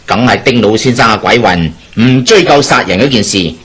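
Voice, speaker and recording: An actor playing a cynical, pragmatic sacked employee who schemes, in a Hong Kong Cantonese radio drama. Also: male voice, on the low side, lively intonation, normal speed, fairly bright tone, slightly rough. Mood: cynical, scheming.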